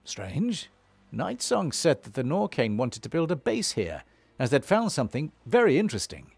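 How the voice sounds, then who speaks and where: A young fantasy game hero, earnest and curious. His manner is direct, with a very animated melody. Light music sits behind a dry recording. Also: a little high, moderate pace, creaky, husky, no room sound, heavily acted. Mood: eager. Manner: direct, questioning. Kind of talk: fantasy game cutscene acting.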